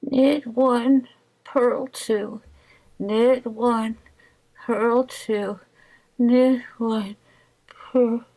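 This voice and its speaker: A woman's voice: a little high, steady tone, drawn-out words, pauses often, dark tone, low-quality recording. A woman playing a frazzled, wry, weary housewife in 1940s radio comedy style, with dramatic exclamations. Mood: dazed, muttering. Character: frazzled, stubborn young housewife knitting late, wry and weary.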